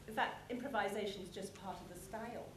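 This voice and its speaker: A woman speaks as a presenter at a plenary session in a large hall. Her mid-pitched voice moves through explanatory phrases with deliberate pauses. The sound is roomy, with noticeable background noise. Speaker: conference presenter. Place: large conference hall with an audience. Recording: echoey conference hall, clear background noise.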